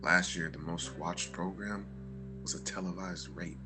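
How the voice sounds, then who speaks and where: A very deep-voiced jaded noir journalist read by a man at a table read, brooding and sardonic. Faint music plays underneath. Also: fairly even intonation, measured pace, low-quality recording. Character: jaded cynical male journalist, adult.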